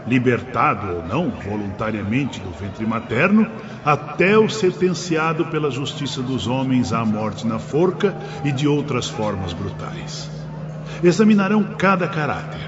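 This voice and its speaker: A man playing a solemn, wise spirit teacher who instructs his disciples in a measured, fatherly way, in an audiobook drama. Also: medium pitch, lightly acted. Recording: dull sound, long reverb, background noise.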